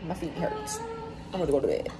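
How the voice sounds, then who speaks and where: A young woman vlogging from a dorm room on a handheld phone, chatty and rambling, her voice hoarse and worn out. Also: deep voice, very animated, fast talker, over music. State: very tired. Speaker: vlogger at cheer camp. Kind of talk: casual selfie vlog chat. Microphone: phone held close at arm's length. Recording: noisy phone recording.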